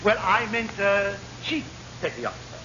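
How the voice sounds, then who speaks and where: An actor playing an exasperated, officious senior naval officer who blusters and sputters in a vintage radio comedy. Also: male voice, sits high, sing-song, normal speed. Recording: dull, noisy old radio recording.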